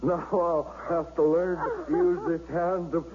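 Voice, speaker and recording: A man acting a husband who is regaining the use of his arm in a 1970s radio drama, talking haltingly and stammering. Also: fairly high pitch, steady tone. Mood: grateful. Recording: dull vintage radio recording with faint hiss.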